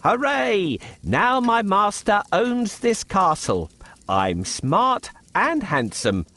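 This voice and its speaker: Cunning storybook cat, high pitch, wildly swooping intonation, cocky, theatrical. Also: male voice, big pitch swings, slow pace, bright, forceful tone, slight background noise, exaggerated voice. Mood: triumphant. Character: clever, boastful, cunning talking cat hero. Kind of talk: children's animated storybook acting. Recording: music behind the voice. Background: music.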